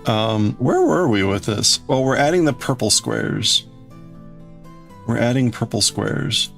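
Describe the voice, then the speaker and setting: A man's voice: mid-range voice, very animated, a little slow, gravelly, soft background music. A man hosting a casual livestream, chatting in a rambling, exclamatory way as he greets and answers viewers. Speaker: livestream host. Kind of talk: casual livestream chat, crafting and reading out viewer chat.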